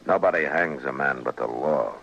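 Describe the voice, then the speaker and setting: A man's voice: a bass voice, moderate pace, a bit bright, husky, full character voice. An American man playing a stern, weary, terse frontier marshal in an old-time radio western. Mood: stern, warning. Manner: terse, commanding. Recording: muffled, noisy old radio recording.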